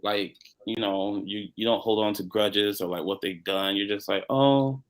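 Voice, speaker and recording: A man answering on a panel over a video call, loose and joking, his loudness swinging up and down. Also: lowish voice, fairly even intonation, normal speed.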